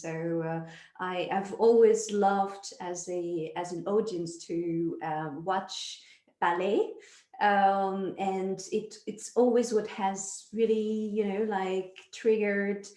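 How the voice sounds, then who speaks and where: A woman from France with a French accent, chatting as an interview guest, unhurried and full of fillers. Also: mid-range voice, steady tone, dark tone. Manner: unhurried, many fillers and restarts. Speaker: guest, nonprofit founder. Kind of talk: casual interview chat. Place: home in Zurich. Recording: echoey room.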